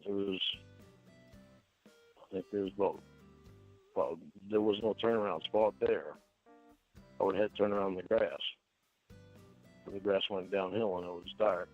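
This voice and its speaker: A man answering questions in an interview, explaining himself haltingly and repeating his words. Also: low-pitched, steady tone. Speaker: suspect being questioned. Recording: dull lo-fi recording with faint music.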